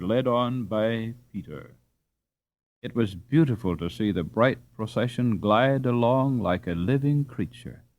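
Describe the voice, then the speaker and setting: A man reading a classic children's story aloud as an audiobook narrator, with expressive, dramatic delivery. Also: medium pitch. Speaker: audiobook reader.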